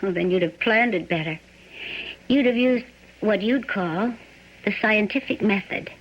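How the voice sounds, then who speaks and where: A woman acts out a shrewd hostage who probes and reasons in a radio drama. Her voice sits at a middling pitch. The broadcast sound is dull and lo-fi. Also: measured pace, lightly acted. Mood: reasoning, insistent. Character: shrewd, probing woman held hostage in a bank. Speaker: actor.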